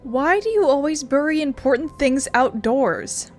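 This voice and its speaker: A woman's voice: high-pitched, expressive, unhurried. A woman doing casual playthrough commentary on a video game as a content creator. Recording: faint game music behind.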